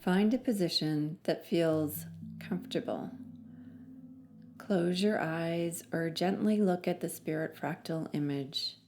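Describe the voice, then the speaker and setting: A woman narrating a guided meditation in a soothing, measured manner with long pauses between phrases. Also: lowish voice, darkish tone, faint music behind.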